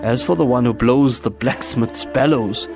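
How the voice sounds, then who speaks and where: A man's voice: mid-range voice, moderate pace, music in the background. A man giving solemn, scripted religious narration as a narrator.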